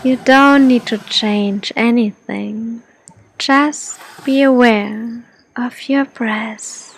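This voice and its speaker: Female meditation guide, high soft voice, extremely unhurried delivery, faint ambient noise behind her. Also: fairly even intonation, creaky. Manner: soft, gentle, soothing, with long pauses.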